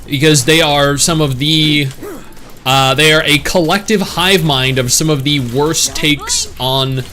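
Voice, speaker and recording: A man's voice: a little high, steady tone, unhurried, bright, forceful tone. A man streaming, chatting casually and opinionated as he reads and answers his live chat.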